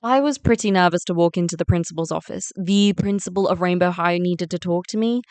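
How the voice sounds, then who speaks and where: A woman playing an excited, bubbly new scholarship schoolgirl, narrating her own story in an amateur game-animation drama. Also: fairly low pitch, a little fast, clean tone, no echo, natural voice. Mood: earnest narration. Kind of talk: first-person scripted story narration and acting.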